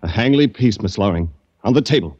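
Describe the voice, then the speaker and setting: A man's voice: fairly low pitch, expressive, a little fast, darkish tone, husky. An actor playing a cold, commanding gunman villain who barks short orders in a 1940s radio drama. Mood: threatening. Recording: muffled old-time radio recording.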